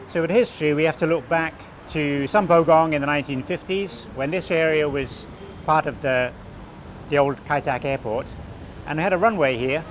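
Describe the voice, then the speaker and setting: A man around sixty, originally from Wales, calmly explaining local history and his website as an interview guest, with small restarts. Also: on the high side, slight background noise. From southwest Wales. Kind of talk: relaxed conversational explanation.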